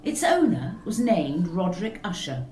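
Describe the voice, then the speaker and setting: Woman reading a gothic tale, lively pitch. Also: reverberant, slight background noise. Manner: measured, expressive storytelling reading. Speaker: reader of a story. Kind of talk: scripted narration of a gothic tale.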